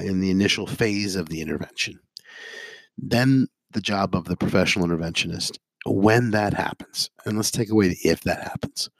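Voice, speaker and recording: A hoarse man, a psychotherapist and addiction interventionist, giving a halting talk to viewers full of ums and uhs and strings of listed phrases. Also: sits low, no echo. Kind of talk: informational monologue to viewers.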